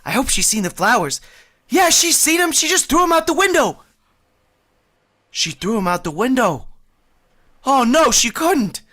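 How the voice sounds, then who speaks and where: A woman's voice: sits high, sing-song, measured pace, long pauses, a bit bright, exaggerated voice. Lady doing a jittery lovesick young guy from old radio, talk bouncing all over. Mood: flustered. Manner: earnest, flustered, rambling. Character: awkward, lovestruck young man of 21. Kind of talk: 1940s radio acting.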